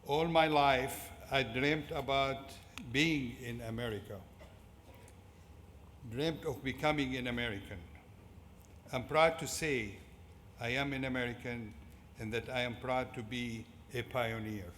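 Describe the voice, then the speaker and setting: In a large, reverberant fieldhouse with noise behind him, a middle-aged man delivers a motivational commencement address. He has a Syrian Arabic accent and a medium-pitched voice. He speaks deliberately, breaking his prepared text into short phrases. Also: a bit bright. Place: large indoor fieldhouse at a ceremony. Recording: echoey fieldhouse PA with clear background noise.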